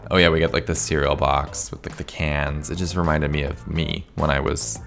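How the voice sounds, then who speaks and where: Hoarse low-voiced man. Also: flat delivery, normal speed, fairly bright tone, faint music behind. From Canada. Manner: chatty, rambling, says "like" often. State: slightly hoarse, losing voice. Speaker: video game builder, channel host. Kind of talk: casual voice-over commentary.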